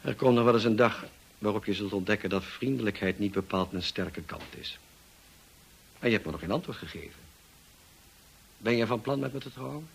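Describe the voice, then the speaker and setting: An actor playing a brusque, teasing, moody gentleman in a Dutch radio drama, speaking in a dry, commanding way. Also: on the low side, a little fast, vocal fry, pauses often, a little hiss or hum. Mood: brusque, insistent. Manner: dry, commanding, clipped questioning.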